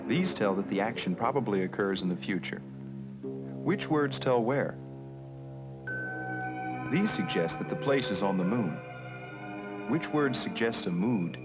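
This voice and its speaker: A man narrating an educational film in a measured, instructional manner, with questions put to the viewer. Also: fairly low pitch, expressive. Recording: muffled 1970s educational film soundtrack with music.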